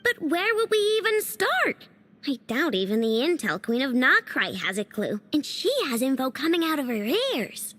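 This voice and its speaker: Super high chirpy girl, bright n bouncy, game cutscene. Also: normal speed, exaggerated voice. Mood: excited. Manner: lively, animated, expressive. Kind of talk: video game voice acting.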